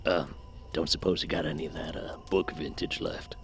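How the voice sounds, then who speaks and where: A man's voice: rumbling low, steady tone, moderate pace, gravelly, a little hiss or hum. An actor playing an easygoing, folksy man in an audio drama, with casual, hesitant phrasing. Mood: laid-back. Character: folksy, easygoing man, drawn to scary stories.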